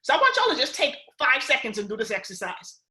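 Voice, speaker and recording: A young Bahamian woman with a Bahamian accent gives a motivational pitch to a conference call, animated and casual, often checking in with "right?". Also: fast, echoey room. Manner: animated, keeps asking "right?" and "y'all", keeping it real. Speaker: speaker on a recruiting call.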